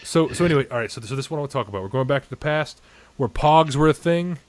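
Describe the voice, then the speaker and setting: A man in his thirties talks casually as a podcast host. His voice sits at a middle pitch and he rants bluntly, with plenty of swearing. The sound is dry and close. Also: animated, racing, no echo. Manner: profane, opinionated, ranting. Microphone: studio condenser microphone on table.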